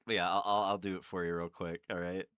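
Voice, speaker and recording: Excitable man chatting casually, bright dry voice. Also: deep voice, fast talker, clear, resonant tone, no room sound. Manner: excitable, self-deprecating, swearing. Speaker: amateur voice-acting enthusiast, video host. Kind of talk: casual, rambling fan chat.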